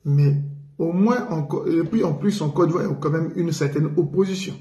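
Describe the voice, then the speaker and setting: A man's voice: a little high, fairly quick, mellow, reverberant. A man from the Republic of the Congo giving an indignant political commentary on community radio. He repeats himself for emphasis and speaks with feeling. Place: living in France.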